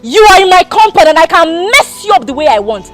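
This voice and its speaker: Actress as a bullying Nigerian madam, very high voice, lively, music under. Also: female voice, moderate pace, exaggerated voice. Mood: scornful. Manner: scornful, shouting, mixes Pidgin. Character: arrogant, bullying rich woman of a compound. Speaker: wealthy madam of the compound. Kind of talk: Nollywood drama acting.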